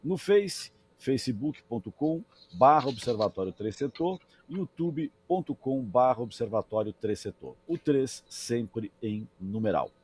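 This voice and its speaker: A man's voice: medium pitch, expressive, measured pace, a little mellow, a little hiss or hum. A man hosting a discussion program, thanking a guest and reading out the show's social media with some stumbling and repeated words. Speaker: program host.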